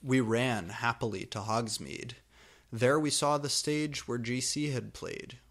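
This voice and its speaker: A man's voice: mid-range voice, fairly even intonation, fairly bright tone. A man hosting a reading of amateur fan fiction, pronouncing every typo and abbreviation exactly as written. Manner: deliberately reads typos and abbreviations literally.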